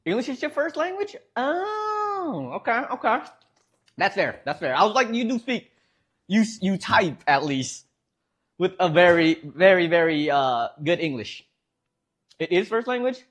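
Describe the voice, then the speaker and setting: A man's voice: high voice, sing-song, measured pace, a bit bright. A man with a Midwestern American accent streaming from home, rambling casually to his chat and laughing often.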